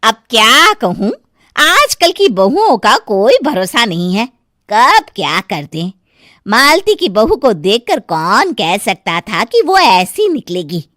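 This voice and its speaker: Woman acting gossipy cautioning friend, middle-aged, cartoon moral story, dramatic, unhurried, dry close sound. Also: fairly high pitch, very animated, sharp tone, no room sound, heavily acted. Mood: disapproving.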